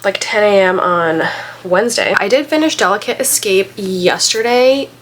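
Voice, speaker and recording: A woman's voice: medium pitch, animated, moderate pace, slight background noise. A woman vlogger getting over a head cold and still congested, chatting casually in a rambling way with lots of filler words. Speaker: book vlogger. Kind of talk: casual vlog chat.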